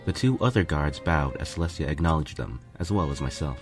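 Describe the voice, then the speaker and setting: A man's voice: very deep voice, animated, brisk, faint music behind. A man reading a first-person fan fiction story as scripted narration.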